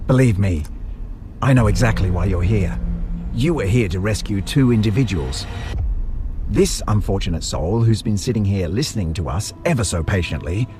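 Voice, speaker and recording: A man's voice: lowish voice, lively intonation, moderate pace, lightly acted. A man playing a maniacal butcher villain in an audio drama, theatrical and condescending in his delivery. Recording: music under the voice.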